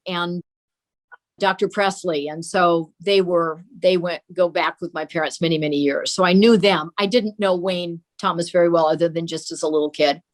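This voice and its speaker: Older woman reminiscing in an interview. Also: lowish voice. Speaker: interview guest. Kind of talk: reminiscing in conversation.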